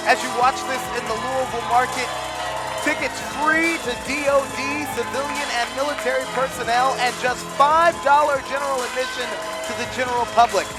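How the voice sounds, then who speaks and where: A man's voice: very high voice, fairly even intonation, fairly quick, low-quality recording. A man hosting a wrestling TV show, upbeat and salesy as he announces an event. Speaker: show host. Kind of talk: promotional announcement. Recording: loud music behind.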